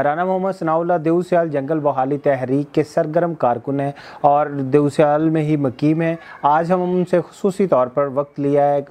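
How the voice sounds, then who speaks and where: A Pakistani man hosts a local news program, his voice pitched a touch above most men. He asks his guest courteous, formal questions that lift in pitch at the end. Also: a little mellow. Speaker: TV show host.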